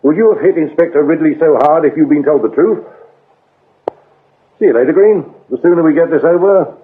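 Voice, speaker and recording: Sardonic british detective in old radio drama, husky, accusing, phone-like muffled sound. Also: male voice, on the high side. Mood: scornful. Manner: sardonic, accusing, deliberate interrogation. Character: shrewd, scornful male police inspector exposing lies. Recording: lo-fi, narrow-band radio drama recording.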